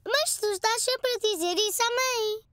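A woman doing a bright, squeaky little boy. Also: moderate pace, no room sound, studio quality. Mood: curious. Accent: European Portuguese. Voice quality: high, eager and bright. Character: cheerful excitable little boy, four years old. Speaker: child character in a dub. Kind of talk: cartoon dubbing.

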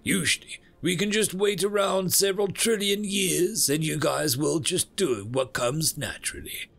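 A man's voice: fairly high pitch, unhurried, vocal fry, a bit bright, soft background music, voice pushed up, full character voice. A man voicing the universe as a hesitant, polite, apologetic cosmic being in a sci-fi story reading. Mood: earnest.

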